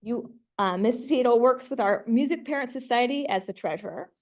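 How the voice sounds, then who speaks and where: A woman's voice: on the high side, normal speed. Woman presenting a formal award tribute. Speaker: award presenter at school board meeting. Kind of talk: formal prepared tribute speech. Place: meeting room, speaking at a microphone.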